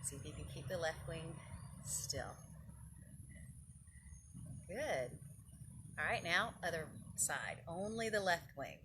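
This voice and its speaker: A woman's voice: fairly low pitch, lively intonation, slow pace, long pauses, noisy background. A woman leading children's yoga and storytime, giving informal, encouraging, step-by-step guidance. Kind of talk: informal instruction and picture-book storytelling for children.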